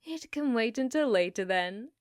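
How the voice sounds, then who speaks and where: A woman playing an obsessive, flirtatious scientist in an intimate ASMR roleplay, with soft breathy speech and precise clinical phrasing. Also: fairly high pitch, expressive, moderate pace, no echo, clean studio sound, close to an everyday voice. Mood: intrigued, musing. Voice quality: soft, breathy, often whispered.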